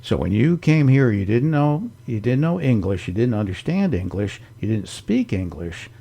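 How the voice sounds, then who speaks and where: A man hosting a radio talk show, asking questions in a halting way with frequent fillers. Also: mid-range voice, big pitch swings, vocal fry, mellow. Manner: halting, many fillers and restarts. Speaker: radio host. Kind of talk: radio interview.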